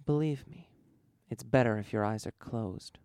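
A man's voice: on the low side, moderate pace, dark tone, no echo, studio quality, natural voice. A man voicing an ancient, seductive female-sounding evil spirit with a coaxing drawl in an audiobook story.

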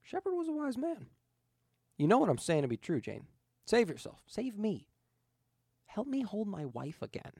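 A man's voice: high voice, wildly swooping pitch, normal speed, creaky, long pauses, a little mellow, breathy edge, no echo, studio quality, voice pushed up, heavily acted. A man voicing a shapeshifting spirit posing as a dead pilot, ominous and pleading in an earnest, insistent way.

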